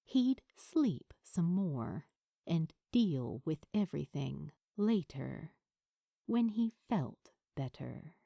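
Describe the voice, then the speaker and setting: A woman reading scripted fiction aloud as a narrator, with an expressive, dramatic delivery. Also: sits low, takes it slowly, creaky, dry recording, studio quality.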